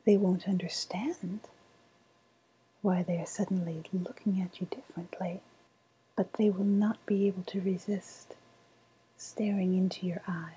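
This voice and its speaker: A woman acts out a sly woodland witch who deals in dark love magic. Her voice is low and mellow, dissolving into a very breathy whisper. She coaxes slowly and deliberately, in the soft style of an ASMR roleplay. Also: steady tone, rough recording. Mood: conspiratorial. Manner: slow, deliberate, coaxing.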